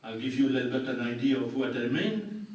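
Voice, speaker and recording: A man's voice: medium pitch, animated, brisk, darkish tone. An older man with a French accent, a public health doctor presenting at a community meeting with dry jokes and plain explanations. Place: large public meeting hall. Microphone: room recording from far off. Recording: echoey hall taped from far off.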